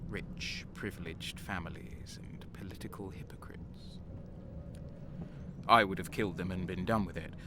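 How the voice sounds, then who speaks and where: A man playing a brooding, cynical soldier in an audio drama, recounting dark deeds in a halting, sombre, bitter way. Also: sits low, background noise, close to an everyday voice. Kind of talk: dramatic first-person narration and audio drama acting.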